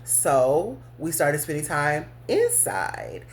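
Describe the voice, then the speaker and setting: A woman's voice: sits low, wildly swooping pitch, slow pace. A woman hosting a podcast, telling a personal story to her listeners in a candid, blunt way, cursing and laughing often. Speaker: podcast host.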